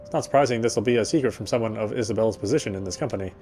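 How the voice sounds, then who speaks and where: A man's voice: fairly low pitch, racing, darkish tone, a little hiss or hum, natural voice. An actor playing a weary male city detective, narrating in the first person with a wry inner voice.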